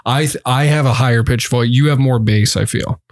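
A man's voice: mid-range voice, no room sound. Man with dry, close-mic voice. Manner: short, quick, agreeable interjections. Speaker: podcast co-host. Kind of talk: casual chat. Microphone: close studio mic.

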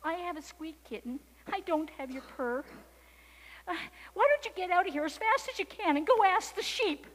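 Woman doing a squeaky, nervous little mouse. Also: animated, racing, hardly any pauses, in a large hall, poor audio.

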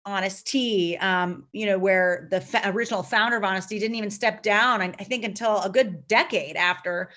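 Woman, Lithuanian first language; founder answering interview questions, unhurried and rambling, lots of filler words. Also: mid-range voice, bright, forceful tone. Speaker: company founder and CEO.

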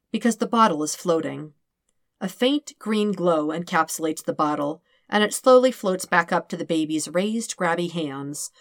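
A woman's voice: fairly low pitch, no echo, clean studio sound. A woman reading scripted fiction as an audiobook narrator.

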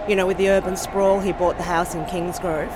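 An Australian woman from Sydney, speaking in a casual, thoughtful interview and pausing often with ums and restarts. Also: lowish voice, flat delivery, noisy background. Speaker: podcast host being interviewed.

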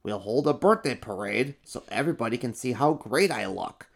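A man's voice: medium pitch, animated, normal speed, gravelly. Man doing a boastful monster emperor. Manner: boastful, showy, then sheepish. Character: vain, attention-hungry male monster emperor.

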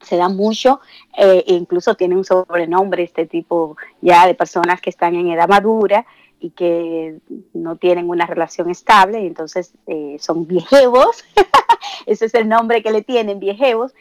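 A woman's voice: fairly low pitch, a little mellow, no echo. A woman from the Dominican Republic with a Dominican accent, co-hosting a radio show and speaking earnestly, with frequent hesitations. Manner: earnest, halting, many "eh" fillers. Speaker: radio co-host. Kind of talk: reflective radio talk.

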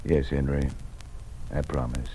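Low-voiced older American college dean. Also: male voice, little pitch movement, measured pace, loud music behind the voice. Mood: solemn, caring. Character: graying college dean, kindly protective old family friend. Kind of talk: old-time radio drama acting. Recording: noisy vintage radio recording.

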